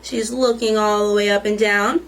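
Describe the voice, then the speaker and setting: A woman's voice: medium pitch, fairly even intonation, normal speed, rough recording. A woman reading a children's picture book aloud as a storytime reader, expressively and with questions to the listeners.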